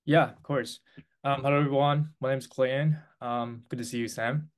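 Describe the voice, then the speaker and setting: A man's voice: medium pitch, steady tone. Earnest young Taiwanese-born man. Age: 20s. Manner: earnest, halting with restarts and fillers. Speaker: guest. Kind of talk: interview answers.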